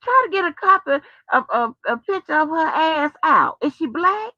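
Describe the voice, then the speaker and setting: Animated high-pitched American woman. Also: no echo. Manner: animated, profane, drawn-out exclamations, folksy terms of address. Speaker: host. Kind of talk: casual rambling chat to viewers and on the phone. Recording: dull lo-fi sound.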